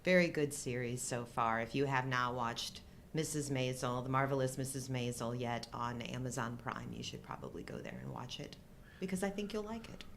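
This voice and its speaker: A woman's voice: extremely low pitch, moderate pace, gravelly, slight background noise. A middle-aged woman chatting casually as a co-host and vlogger. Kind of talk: casual chat.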